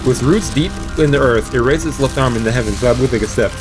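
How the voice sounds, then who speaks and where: A man's voice: medium pitch, fairly quick. A man live-streaming a read-aloud of a visual novel, stumbling over words now and then and dropping into casual asides. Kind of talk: live let's-read narration with casual reactions. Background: game music.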